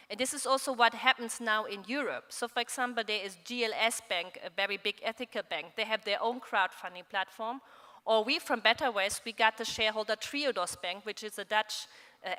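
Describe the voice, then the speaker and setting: A woman's voice: mid-range voice, bright, forceful tone. A woman, earnestly explaining crowdfunding as a panelist in a large conference hall, speaking into a stand mic. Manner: earnest, explanatory, with hand gestures. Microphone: stand mic on a panel table. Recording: reverberant conference hall with faint background noise.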